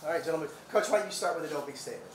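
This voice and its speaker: A man's voice: fairly high pitch, expressive, very fast, distant mic. A man moderating a post-game press conference with casual sports questions. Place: indoor press conference room. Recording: echoey, off-mic, faint room noise.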